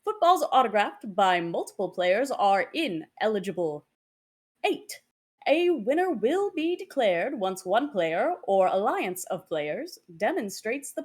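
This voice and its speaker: A woman's voice: fairly high pitch, slightly rough, exaggerated voice. A woman playing an official announcer reading out game rules in a formal, emphatic, carefully enunciated manner. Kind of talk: scripted table read, formal announcement.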